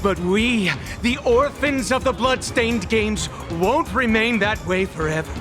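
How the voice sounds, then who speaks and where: High-voiced, bitter young squad leader. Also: male voice, over music. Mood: furious, defiant. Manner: slow, emphatic, declamatory delivery. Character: bitter, defiant young male squad leader, theatrical and wounded. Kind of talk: dramatic video game voice acting. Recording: dramatic game score behind the voice.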